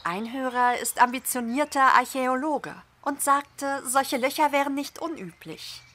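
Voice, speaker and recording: A woman acting as a friendly female podcaster in a horror audio play. Her voice is fairly high and bright, and she explains things in a casual, chatty way. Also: a little slow. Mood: cheerful, explaining.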